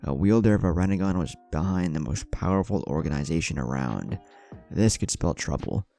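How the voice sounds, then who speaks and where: Man, dramatic story narration, creaky low voice, lively swings in pitch, music underneath. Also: fairly quick.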